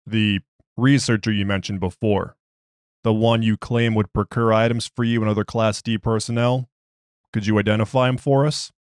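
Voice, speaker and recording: A man's voice: lowish voice, fairly even intonation, moderate pace, smooth, no room sound, clean studio sound, lightly acted. A man voicing a skeptical research doctor who questions a prisoner in formal, clipped, dismissive speech. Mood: probing. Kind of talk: dramatized interview log.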